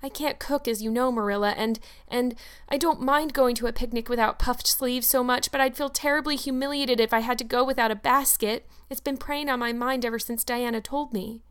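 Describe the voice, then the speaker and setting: A woman's voice: on the high side, fairly even intonation, fairly quick, a bit bright, smooth, natural voice. A woman voicing an eager, chattering orphan girl of about eleven, breathless and gushing as she pleads.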